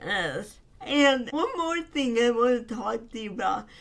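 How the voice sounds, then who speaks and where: A woman co-hosting a podcast in casual chat, earnest and affectionate, her voice a bit raspy from talking. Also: a little high, animated. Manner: slow, earnest and affectionate, with frequent fillers. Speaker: podcast co-host.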